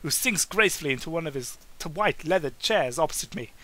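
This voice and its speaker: A man's voice: a little high, animated, quick pace, fairly bright tone. A man giving a goofy, exaggerated comedic reading of prose, often stumbling and breaking into asides. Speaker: amateur reader making a comedic dramatic reading. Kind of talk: hammy comedic audiobook-style narration.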